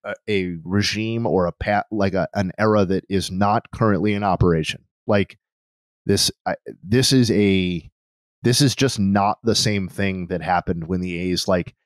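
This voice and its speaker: Deep-voiced man debating sports on podcast. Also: a little slow, no room sound. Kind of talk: casual sports discussion.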